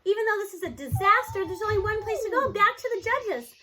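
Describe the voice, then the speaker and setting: A woman reading a children's novel aloud in an expressive, animated storytelling manner. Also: very high-pitched, brisk. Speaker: audiobook reader.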